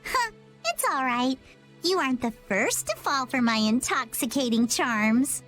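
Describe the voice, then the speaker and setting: High, swooping chipper pirate lass. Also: female voice, lively intonation, unhurried, a bit bright. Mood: wise, encouraging. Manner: playful old-fashioned pirate slang, self-assured. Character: chipper young pirate girl, quirky and wise beyond years. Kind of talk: English-dubbed anime game voice acting. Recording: music under the voice. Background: music.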